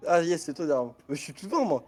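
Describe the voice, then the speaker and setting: A man's voice: on the high side, very animated, very fast, mellow. A man playing a rowdy protester in a role-play game, chattering in a slangy, provocative way. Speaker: player acting a rowdy protester. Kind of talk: excited gaming chatter.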